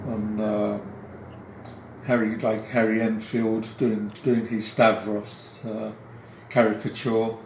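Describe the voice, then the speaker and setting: British-raised man, halting interviewee, unhurried and level delivery, echoey room. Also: fairly low pitch, a little hiss or hum. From Britain. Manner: halting, many pauses and fillers. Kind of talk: hesitant reflective answer.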